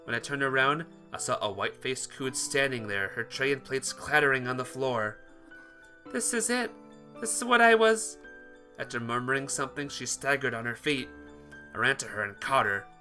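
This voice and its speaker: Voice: medium pitch, brisk, pushed and bright, faint music behind. A let's player reading a visual novel aloud as dramatic narration, occasionally stumbling and correcting the wording.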